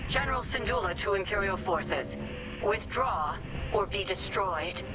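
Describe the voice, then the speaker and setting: An actress playing a general who issues calm, authoritative orders over the comms. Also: female voice, mid-range voice, unhurried, close to an everyday voice, rough recording. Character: commanding woman rebel general. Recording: loud game music behind.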